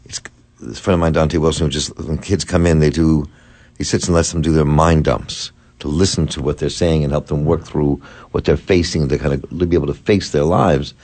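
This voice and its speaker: A man's voice: rumbling low, fairly even intonation, normal speed, darkish tone. A man hosting a call-in radio talk show, rambling and restarting his sentences as he works toward his questions. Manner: rambling, repeats words while searching for a point. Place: radio studio.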